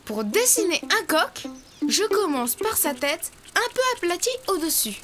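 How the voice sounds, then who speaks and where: A woman's voice: very high-pitched, sing-song, a little slow, over music, full character voice. A woman voicing a cheerful, confident young boy character in a preschool cartoon, explaining things in a friendly way to young viewers. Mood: cheerful, instructive. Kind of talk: preschool cartoon voice acting, drawing tutorial.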